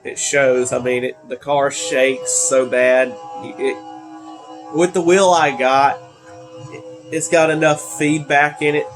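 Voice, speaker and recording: A man's voice: medium pitch, little pitch movement, slow pace, over music. A teenage man, congested from allergies, rambling with many fillers while commenting on a racing game. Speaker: gaming video creator.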